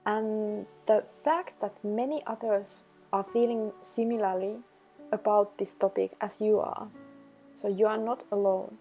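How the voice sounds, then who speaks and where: A woman leading a guided meditation, giving instructions in slow, measured phrases. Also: medium pitch, fairly even intonation, dark tone. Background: background music.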